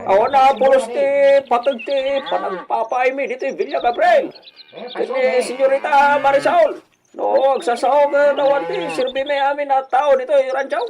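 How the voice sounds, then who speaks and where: An actor playing a humble, anxious ranch villager in a radio drama, speaking in a halting, plaintive way. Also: male voice, extremely high pitch, fairly even intonation, creaky, crowd noise. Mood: worried.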